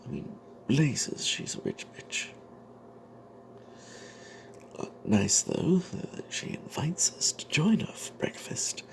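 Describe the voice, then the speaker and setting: Guy whispering a d&d style story as a wry cleric, slow and gravelly, some background noise. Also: fairly high pitch, huge pitch swings. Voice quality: breathy, whispery. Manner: hushed, halting, in character as a wry adventuring cleric. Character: wry, suspicious adventuring cleric recounting his exploits. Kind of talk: whispered ASMR first-person fantasy tale narration.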